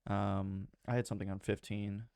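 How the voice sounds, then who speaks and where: Laid-back male book-club member, low gravelly voice, trails off with ums. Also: moderate pace. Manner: relaxed, hesitant with ums. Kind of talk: casual book discussion.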